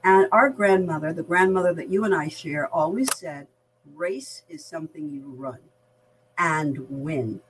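Older American-accented woman, slightly low voice. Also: noisy background. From Barbados. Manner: measured, articulate, explanatory. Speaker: veteran diversity practitioner, podcast guest. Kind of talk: reflective conversational interview.

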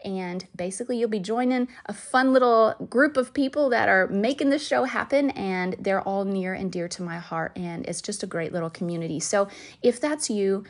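A woman's voice: medium pitch, normal speed. Enthusiastic woman podcast host. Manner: warm, enthusiastic, affirming listener. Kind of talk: podcast interview and sponsor appeal.

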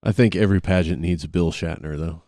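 A man's voice: deep voice, fairly quick, mellow, no room sound, clean studio sound. A man co-hosting a casual chat, talking informally with the odd swear word. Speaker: podcast co-host.

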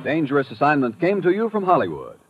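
Higher-pitched male radio announcer over music. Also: wildly swooping pitch, quick pace. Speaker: programme announcer. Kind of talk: formal 1950s radio announcing, scripted. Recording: dull old radio recording.

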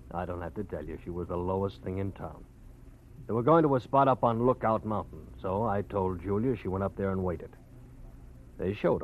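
A man's voice: fairly low pitch, slight background noise, lightly acted. A man playing a cold, cynical schemer. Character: cold, calculating man with gangster muscle. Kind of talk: 1940s radio acting. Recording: dull lo-fi old radio recording.

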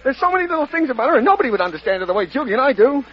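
A man acting an earnest young husband and father in a vintage radio drama, speaking haltingly and with emotion. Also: very high voice, big pitch swings, husky, heavily acted. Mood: desperate pleading. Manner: halting, emotional, repeating words. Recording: muffled lo-fi old radio recording.

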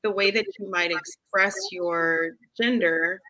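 Woman guest talking it through, lowish pitch, bright tone. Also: fairly even intonation, slow, rough recording. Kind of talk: casual discussion.

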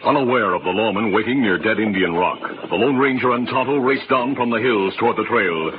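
A man's voice: on the low side, a little fast, heavy background noise. A man narrating a western adventure in a dramatic old-time radio style. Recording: muffled vintage radio, loud music behind.

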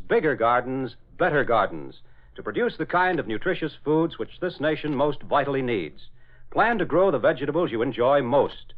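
American man, radio announcer, medium pitch, animated emphatic intonation, formal scripted delivery, dull 1940s broadcast sound.

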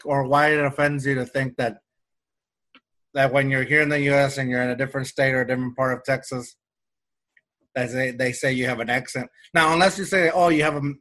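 Relaxed man with a slight Mexican Spanish accent. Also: mid-range voice, low-quality recording. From Mexico-US border region. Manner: rambling, frequent restarts and fillers. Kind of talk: casual conversation.

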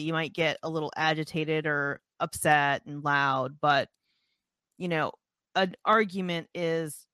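A woman co-hosting a podcast, calmly explaining and affirming her partner with short interjections. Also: low voice, no echo, clean studio sound. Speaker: podcast co-host. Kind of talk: candid conversational podcast talk.

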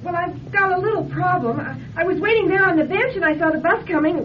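High-pitched, flustered young woman. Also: fast talker. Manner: earnest, flustered. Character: anxious, naive young runaway bride-to-be. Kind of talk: radio drama acting. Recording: dull, noisy old radio recording, vehicle rumble.

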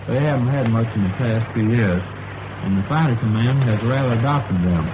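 A man from Alabama speaks as an army sergeant in a scripted 1940s radio interview. His pitch is medium. The wartime relay sounds muffled and clearly noisy. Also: normal speed. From Alabama, United States.

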